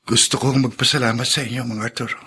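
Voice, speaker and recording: Man acting as earnest young engineer, bright husky voice, polite. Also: medium pitch, little pitch movement, fast talker. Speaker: voice actor. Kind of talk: audio drama acting.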